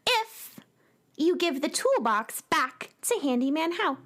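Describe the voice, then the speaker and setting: A woman's voice: high voice, very animated, slow, fairly bright tone, exaggerated voice. A woman playing a perky, chatty young girl space cowgirl in a children's audio drama. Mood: coy, bargaining. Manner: chatty, bubbly, girlish. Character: perky girl space cowgirl who wrangles lost sounds.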